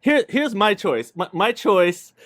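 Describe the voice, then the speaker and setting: A man's voice: high-pitched, lively intonation, slow, fairly bright tone, no room sound, studio quality. A man hosting a casual livestream chat in slangy, jokey talk, saying dude a lot. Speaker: show host.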